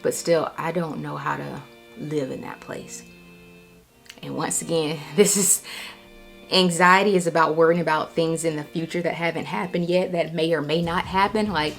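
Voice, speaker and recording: A woman's voice: deep voice, steady tone, normal speed, vocal fry, faint music behind. An American woman talking candidly and reflectively in a casual personal vlog, repeating herself for emphasis. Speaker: vlogger.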